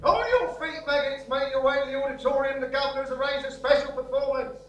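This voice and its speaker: A man acting as a contemptuous prison guard, barking orders very high and fast. The sound is muffled with faint hum, as in a stage comedy announcement. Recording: dull, hummy, slightly noisy announcement.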